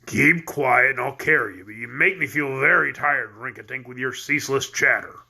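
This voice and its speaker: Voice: moderate pace, creaky, bright, forceful tone, slightly rough, full character voice. Bilbil, a reader growling as a grumpy goat. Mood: surly. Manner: low growling complaints. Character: grumpy talking male goat, gruff and surly.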